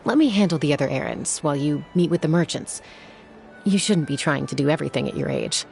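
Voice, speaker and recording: A woman playing a calm, dependable warrior in a video game, earnest and assured. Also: low-pitched, fast, creaky, music in the background, heavily acted. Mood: concerned, firm.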